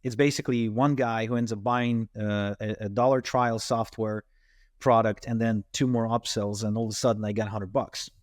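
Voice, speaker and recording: A man's voice: on the low side, fairly even intonation, normal speed, dry recording, clean studio sound. A man from Ukraine and Israel, a native Russian and Ukrainian speaker, telling his story conversationally as an interview guest. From Ukraine, and Israel from age twelve. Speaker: podcast guest, entrepreneur.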